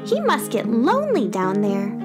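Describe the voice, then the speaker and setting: A woman's voice: a little high, huge pitch swings, moderate pace, loud music behind the voice. A woman playing a plucky, polite, bookish little girl in a children's audio drama. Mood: cheerful, matter-of-fact.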